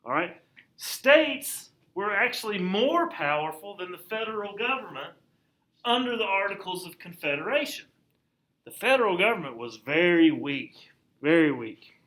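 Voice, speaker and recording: A man lectures a class on history in a relaxed, conversational way, repeating his main points and checking that the students follow. His voice sits high and sounds bright. The room around him is echoey. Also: normal speed. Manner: conversational, repeats key points, asks class if it makes sense. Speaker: high school history teacher.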